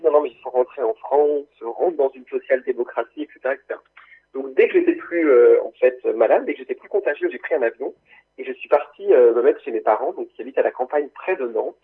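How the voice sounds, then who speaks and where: A man from Canada whose first language is English, calling in as a guest author. He talks fast and eagerly, repeating words as he restarts, and his voice is a little husky. The sound comes through a tinny phone line. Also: mid-range voice, animated. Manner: quick, eager, stumbling restarts. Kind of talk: interview over the phone.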